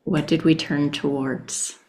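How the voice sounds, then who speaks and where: A middle-aged woman hosting a reflective conversation, speaking hesitantly with fillers and soft laughs. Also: deep voice, fairly even intonation, slow pace, gravelly.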